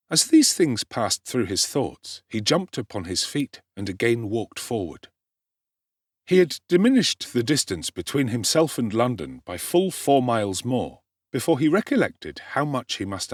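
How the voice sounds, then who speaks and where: A man giving a dramatic, expressive audiobook narration of a classic novel, telling the story in a measured way. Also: medium pitch, husky, dry recording, studio quality.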